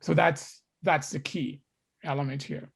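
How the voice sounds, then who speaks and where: A man's voice: on the high side, little pitch movement, measured pace, gravelly, slightly rough. A middle-aged man from Congo giving a lecture as a story, repeating words and restarting sentences.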